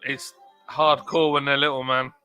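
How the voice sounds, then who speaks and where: A man hosts a relaxed live stream and drifts between chat and viewer comments with plenty of ums. His voice is bright and forceful. Country music plays faintly behind him. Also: mid-range voice, moderate pace, clean tone. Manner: chatty, reads out comments, scattered fillers. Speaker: livestream host.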